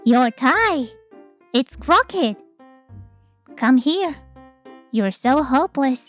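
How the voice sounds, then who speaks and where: A woman's voice: high-pitched, animated, extremely slow, fairly bright tone, soft background music, full character voice. A woman voicing a strict teenage girl with a scolding, exasperated manner in a manga dub. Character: strict, bossy teenage girl scolding her lazy guardian.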